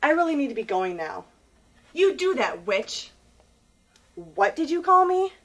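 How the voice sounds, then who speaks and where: A woman's voice: high voice, big pitch swings, measured pace, fairly bright tone, far from the mic, heavily acted. A woman playing the catty, preening women of Cinderella's wicked stepfamily in broad comic theatre, exaggerated and mock-sweet. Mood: bickering. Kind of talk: broad comic stage fairy-tale acting.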